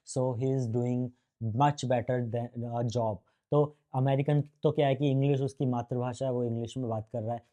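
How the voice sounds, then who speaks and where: A man's voice: mid-range voice, dark tone. A man from India giving a casual freelancing lesson, chatty and speaking straight to the viewer, slipping English phrases into his talk. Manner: chatty, mixes English phrases into Hindi, addresses viewer directly. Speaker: freelancing course instructor. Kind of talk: casual tutorial talk to camera.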